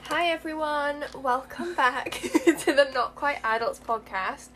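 A young woman with a slight lisp and a voice pitched a little high. She chats quickly and casually, laughing often as she co-hosts a relaxed podcast. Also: background noise. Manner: chatty and quick, with frequent laughs. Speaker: podcast co-host. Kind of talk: casual chat while getting ready.

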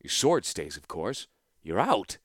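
A man's voice: fairly low pitch, a little slow, dry recording, studio quality, exaggerated voice. Gerard, a man doing a stern veteran weapons master. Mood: commanding. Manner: calm, commanding, dryly threatening.

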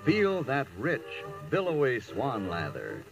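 A male announcer in an old radio commercial, persuasive and very varied in pitch. He sounds somewhat off-mic, with music behind him. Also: huge pitch swings, slow pace, muffled recording. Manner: smooth persuasive sales delivery, addressing listeners. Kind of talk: scripted 1940s radio advertisement. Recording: old radio broadcast recording, somewhat distant.

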